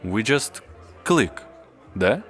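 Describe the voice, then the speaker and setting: A man playing a reserved, solitary young therapist in an audio drama, speaking in a measured, formal, understated way. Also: lowish voice, big pitch swings, a bit bright, exaggerated voice. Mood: quietly admiring. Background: music.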